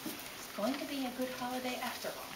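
A woman's voice: sits high, brisk. A woman giving short off-stage prompts as the director of young performers. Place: school or library room. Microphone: far camera. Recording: echoey, distant, very noisy camera audio.

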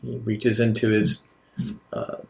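A man narrating a tabletop game as game master, improvising descriptions in a halting way. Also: lowish voice, monotone, vocal fry, a little mellow, poor audio.

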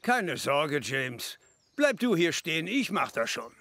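A man voicing a kindly old grandfather who drives a steam train in a children's cartoon, with a gravelly voice. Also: a little high, very animated, measured pace, fairly bright tone, heavily acted. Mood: reassuring. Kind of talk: animated children's cartoon dubbing.

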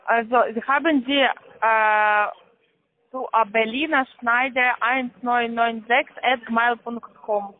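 A woman with a foreign accent, not a native German speaker, giving halting, insistent instructions as a call-centre caller. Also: fairly high pitch, fairly even intonation. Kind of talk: scripted phone instructions, spelling out slowly. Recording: tinny, narrow-band phone line.

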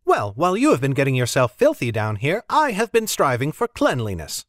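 A man's voice: medium pitch, huge pitch swings, fairly quick, no echo, clean studio sound. A man voicing a prim, fussy young woman who complains with proper manners.

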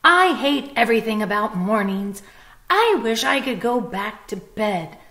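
A woman's voice: a little high, big pitch swings, unhurried, fairly bright tone, full character voice. A woman voicing a cranky male lizard who hates mornings.

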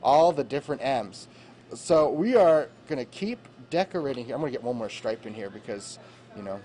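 A man's voice: medium pitch, expressive, brisk, dark tone. A young man reporting live from a bakery kitchen on a handheld mic, bantering in a jokey, upbeat way. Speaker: field reporter. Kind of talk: live morning news segment.

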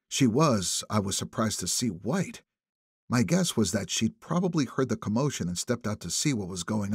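A male audiobook narrator with a husky voice and very lively pitch. He reads first-person thriller prose dramatically, building suspense, in a dry close sound. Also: no room sound. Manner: expressive, suspenseful phrasing.

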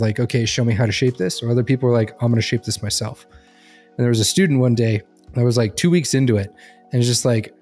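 A man's voice: medium pitch, mellow. A man telling anecdotes in casual podcast talk. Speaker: podcast speaker on behavior analysis.

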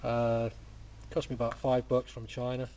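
A man casually explaining a workshop build in a relaxed, plain-spoken way, full of "uh" and "basically", filmed up close on a camera mic at a cluttered bench. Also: medium pitch, normal speed, highs cut off, slight background noise. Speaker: hobby engineer demonstrating a build.

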